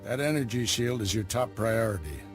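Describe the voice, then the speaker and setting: An actor playing a grave older Jedi master who gives orders in a measured, commanding way. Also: male voice, fairly low pitch, animated, close to an everyday voice. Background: game score music.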